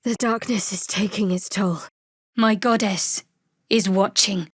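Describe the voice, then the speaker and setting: A woman playing a guarded, sardonic young cleric of a dark goddess in a video game, with a dry, wry, measured delivery. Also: medium pitch, steady tone, breathy edge, no echo. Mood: guarded, composed. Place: studio.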